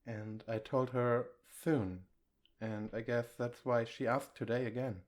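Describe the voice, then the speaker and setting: Casting: male actor as tender, comforting boyfriend for ASMR roleplay; mid-pitched, very unhurried, intimate one-to-one delivery. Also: steady tone, natural voice. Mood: fond, sincere. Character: affectionate, reassuring boyfriend, shyly bragging about his partner.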